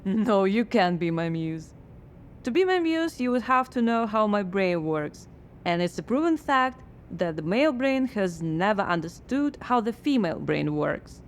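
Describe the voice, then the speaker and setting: A skeptical, frazzled writer, acted by a woman in a radio drama. She talks in a dry, sardonic way at a middling pitch. A faint noise hums behind her. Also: big pitch swings, a little slow. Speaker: scriptwriter.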